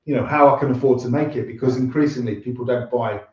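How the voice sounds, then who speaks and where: A man's voice: mid-range voice, quick pace, a little mellow. A man chatting casually as a guest singer-songwriter in an interview. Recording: muffled, echoey, distant from mic.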